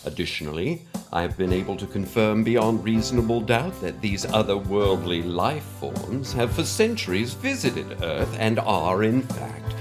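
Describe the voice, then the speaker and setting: A man playing a pompous, mysterious conspiracy theorist in a formal, verbose, pedantic scripted monologue. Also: fairly low pitch. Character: pompous mysterious male conspiracy-theorist scientist on a cassette letter.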